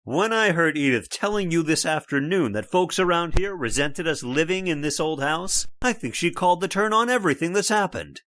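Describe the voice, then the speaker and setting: A man's voice: on the high side, animated, normal speed, few pauses, fairly bright tone, no room sound. An actor playing a stubborn, skeptical middle-aged father in a radio horror drama, scornful and dismissive. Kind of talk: old-time radio drama acting.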